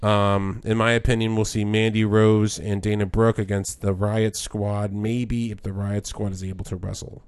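A man co-hosts a casual podcast, rambling and restarting his sentences as he goes. His voice sits low, and the sound is dry and close with no room around it. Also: flat delivery, normal speed, hardly any pauses, smooth, no room sound. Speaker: podcast co-host. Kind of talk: casual wrestling chat.

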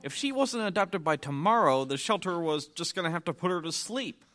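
Suburban father, played by a man, animated and forceful, earnest, upbeat, placating, live audio drama. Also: on the high side, brisk. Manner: earnest, eager.

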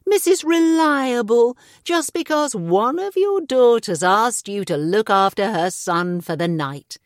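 A man's voice: high voice, sing-song, moderate pace, no room sound, clean studio sound. A woman reading the lines of a spiteful middle-aged man who taunts with sarcasm. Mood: mocking.

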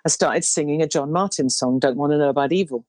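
A woman in her sixties with an unusually low, baritone voice, talking in a loose, meandering way as she recalls her career. The sound is dry and close, with no room around it. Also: quick pace, no room sound. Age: 60s. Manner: rambling, warm storytelling with restarts. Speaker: singer. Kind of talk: relaxed interview chat.

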